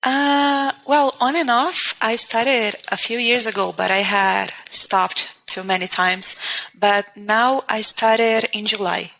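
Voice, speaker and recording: Bright Portuguese-accented woman teacher. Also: fairly high pitch, slow pace, vocal fry, rough recording. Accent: Portuguese-speaking. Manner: earnest, thinks aloud with many restarts. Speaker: guest, language teacher. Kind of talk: casual interview.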